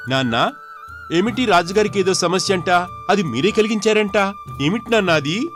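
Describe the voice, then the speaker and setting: High-voiced, eager mischievous boy. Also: expressive, moderate pace, fairly bright tone, faint music behind. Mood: pleading. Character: mischievous, idle young son pestering his parents. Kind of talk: animated moral story dialogue.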